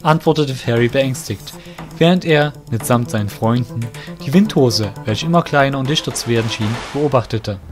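A man narrates a fantasy audio drama from a script. His voice sits at a medium pitch. Music is clearly heard behind him as he tells the story. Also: moderate pace.

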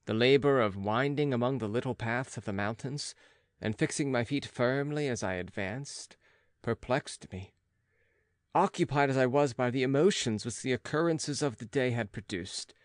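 A male audiobook narrator reads classic gothic fiction. His voice sits at a middle pitch, and his delivery is measured and dramatic. The recording is dry, with very little room sound. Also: no room sound.

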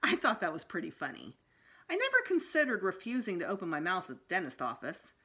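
A woman's voice: lowish voice, huge pitch swings, fast talker, bright, forceful tone, slightly rough. A middle-aged woman reading a children's book aloud expressively as a school storytime reader. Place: small room indoors at home.

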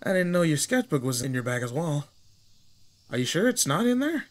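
A cocky teenage troublemaker played by a man in a roleplay audio drama, with a somewhat high male voice. He talks in brash, casual slang straight to the listener, teasing them while staying protective underneath. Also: sing-song, a little fast. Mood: gentle, sympathetic.

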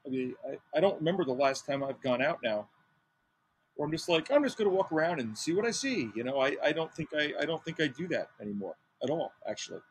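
A man's voice: fast talker, slight background noise, rough recording. A man, talking animatedly on a video call from home, stumbling and restarting as his voice jumps up. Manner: animated, restarts, pitch jumps up when stressing. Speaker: birding podcast co-host. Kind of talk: casual chat. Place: home room with painting. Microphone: video call webcam.

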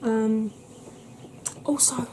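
A woman in her thirties chatting to camera at home in a soft ASMR style, rambling and often dropping into whispers. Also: fairly high pitch, steady tone, very slow, background noise. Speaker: beauty vlogger. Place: small cluttered room at home.

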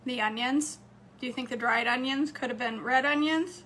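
A woman, chatting casually to her viewers from a home kitchen, slightly hoarse. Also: high voice, normal speed, bright, forceful tone. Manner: chatty, upbeat, talks straight to camera. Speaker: cooking vlogger. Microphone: phone camera close up on a counter.

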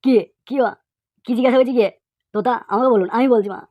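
A woman's voice: on the high side, fast, no room sound, lo-fi sound, natural voice. A woman voicing an outspoken cartoon pupil, lecturing emphatically with repeated phrases and rhetorical questions. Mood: insistent. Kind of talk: cartoon comedy monologue.

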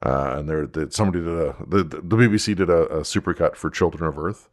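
A man in a casual fan discussion as a podcast host, halting and circling back as he explains. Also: low voice.

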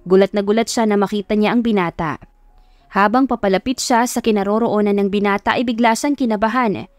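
A woman's voice: medium pitch, a little mellow, dry recording. A woman reading a scripted love story as its narrator.

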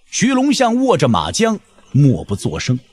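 A man narrating an audio drama with dramatic, expressive scripted storytelling. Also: on the high side, moderate pace, a little hiss or hum.

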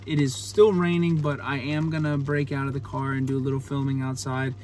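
A man's voice: mid-range voice, level tone, a little fast, darkish tone. A young man in his twenties casually narrating a road trip vlog, explaining things as he films from the car and outdoors. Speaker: vlogger. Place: inside a car at night, then outdoors at a charging station in the rain.

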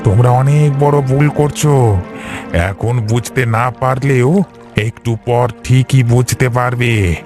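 A man voicing a stern, ominous village caretaker in a horror cartoon, speaking gravely. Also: mid-range voice, steady tone, over music, lightly acted. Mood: grave warning. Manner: slow, grave, warning.

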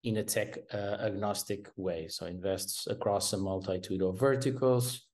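A man speaks in a fairly low voice over a video call from a room at home. He talks in a hesitant way, full of fillers and false starts. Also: fairly even intonation, normal speed, darkish tone. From Portugal. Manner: hesitant, many restarts and fillers. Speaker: venture capital guest. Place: home room with painting behind.

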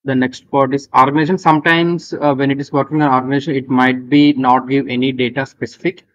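A man with an Indian accent presenting an unscripted software walkthrough, halting and repetitive as he gives step-by-step instructions. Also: mid-range voice, little pitch movement. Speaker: tutorial presenter. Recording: dull lo-fi voice recording.

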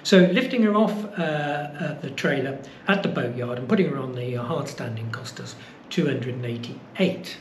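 A man's voice: medium pitch, normal speed. A man, casually explaining costs to camera with plenty of fillers. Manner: relaxed, explaining with many uh fillers. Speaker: boat-building vlogger. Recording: echoey room with faint noise.

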